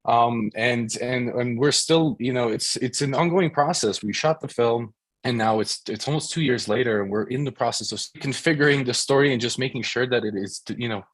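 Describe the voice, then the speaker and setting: A man from the Czech Republic, a filmmaker speaking on an online panel, halting with many restarts and repeated words. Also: medium pitch, no echo. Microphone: video call.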